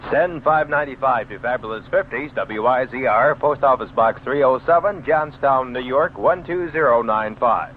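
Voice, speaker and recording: A man making formal local radio station announcements, deliberately spelling out letters and numbers. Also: medium pitch. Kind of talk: formal station identification and mail-order announcement. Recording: narrow-band old radio with faint noise.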